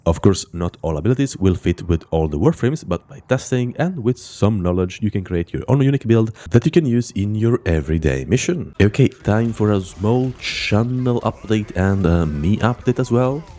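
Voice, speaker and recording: A young man with a cold talks through a game guide in a low, mellow voice. He explains things steadily and methodically, and his sick voice sounds slightly off. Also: normal speed, hardly any pauses. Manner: steady explanatory, then loose chatty sign-off. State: has a cold, voice off. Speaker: gaming channel host. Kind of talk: scripted game guide narration.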